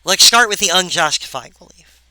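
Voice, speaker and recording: A woman giving a recorded philosophy lecture, halting and full of wry asides to the viewer. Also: fairly low pitch, lively intonation, pushed and bright, no echo, rough recording.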